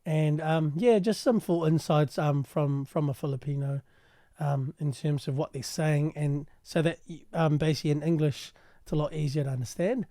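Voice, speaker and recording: A man translating and explaining a news clip in a casual, halting way with many pauses. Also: fairly high pitch, steady tone, warm tone, no room sound, studio quality. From New Zealand. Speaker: translator and commentator.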